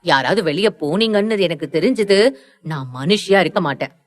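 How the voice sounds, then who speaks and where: A woman's voice: lowish voice, expressive, a little fast, natural voice. A woman playing a stern, domineering middle-aged mother in an audio story, scolding and threatening with emphatic delivery. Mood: commanding. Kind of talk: Tamil audio story acting.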